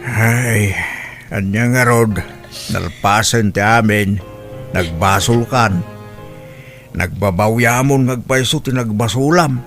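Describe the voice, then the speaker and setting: A man with a Filipino Ilocano accent playing a concerned, sorrowful older father who gently scolds his daughter in a radio drama. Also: fairly low pitch, slow, pauses often. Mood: solemn, advising. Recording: music bed under radio drama dialogue.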